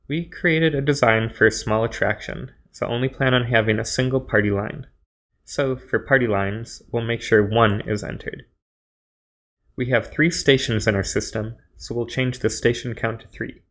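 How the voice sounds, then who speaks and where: A man's voice: mid-range voice, a bit bright. Man narrating a scripted tutorial. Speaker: tutorial presenter.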